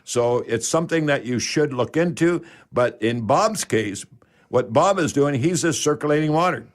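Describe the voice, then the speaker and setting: A man hosting a radio advice show on home repair, speaking in a halting, folksy way and repeating words for emphasis. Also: a little high, lively intonation.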